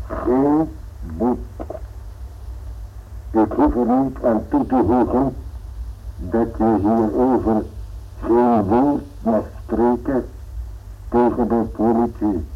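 A cold, threatening gangster speaking extremely slowly, with deliberate gaps between phrases. The voice comes off a tape playback, thin and phone-like with faint hiss. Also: male voice, mid-range voice. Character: menacing male gangster leaving a taped threat. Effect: tape recorder playback. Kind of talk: Dutch radio play acting.

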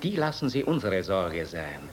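Cold menacing nazi official, lowish voice, clipped and officious, old-style radio play with noise. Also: male voice, very animated, moderate pace. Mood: cold, threatening. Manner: clipped, officious, coldly formal. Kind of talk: German radio drama acting. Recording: clearly noisy radio drama recording.

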